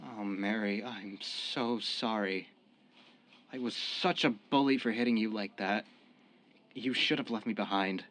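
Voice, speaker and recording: A man's voice: lowish voice, unhurried, fairly bright tone. A man playing a repentant young tough guy. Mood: remorseful. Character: young ex-mob tough, repentant, devoted expectant father. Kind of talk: radio drama acting.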